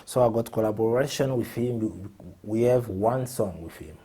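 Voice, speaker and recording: A man's voice: lowish voice, normal speed, warm tone, slightly rough. A man from Congo, a music artist guest talking earnestly in a casual interview and often repeating his words.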